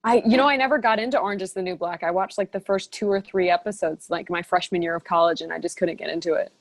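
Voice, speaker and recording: A young woman chatting casually as a podcast guest, playful and self-mocking, quick to agree and riff. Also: fairly low pitch. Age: young adult. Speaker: podcast guest, online video creator. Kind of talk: casual chat.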